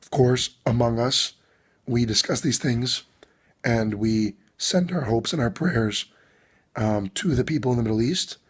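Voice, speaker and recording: A male priest with a hoarse, failing voice, giving a video blog address with many pauses and fillers. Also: mid-range voice. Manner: hesitant, many ums and uhs. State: hoarse, losing his voice. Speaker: Orthodox priest. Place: abroad on a trip.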